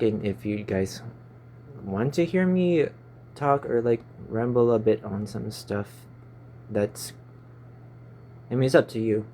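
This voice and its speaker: Young Filipino-accented man, lowish warm voice, casual rambling delivery with verbal stumbles, phone-recorded. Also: slight background noise. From Philippines. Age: 20s. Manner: stumbling, fumbling over words. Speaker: YouTuber. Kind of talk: casual rambling vlog chat. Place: small stuffy room.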